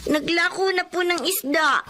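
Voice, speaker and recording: Loyal little sister: very high, childlike, plaintive, outspoken. Radio drama. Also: female voice, normal speed, bright, forceful tone, slight background noise. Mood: respectful, earnest. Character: young girl, loyal, outspoken, respectful younger sister. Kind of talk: Filipino radio drama acting.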